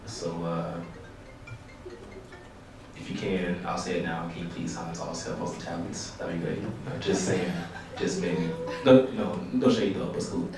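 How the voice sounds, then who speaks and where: A young man introduces himself to a panel audience with offhand jokes. His voice is deep and flat. He sounds distant in a very echoey hall with clear room noise. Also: normal speed, music in the background. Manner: jokey, offhand. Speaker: student panelist. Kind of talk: joking self-introduction.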